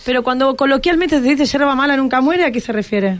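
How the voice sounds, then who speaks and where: A woman's voice: high voice, lively intonation, fast talker, no echo, soft background music. A woman with an Argentine accent, interviewing casually as a radio host in an encouraging, inquisitive way. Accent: Argentine, Rioplatense.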